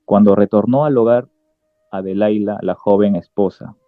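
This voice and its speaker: A man's voice: lowish voice, steady tone, moderate pace, no room sound. A man reading scripted literary narration as the narrator of a radio drama. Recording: dull lo-fi sound, music behind.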